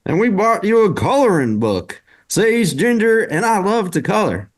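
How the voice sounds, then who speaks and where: A woman's voice: medium pitch, big pitch swings, normal speed. A woman playing a kind, chirpy little girl in a children's story table read. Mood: cheerful, kind.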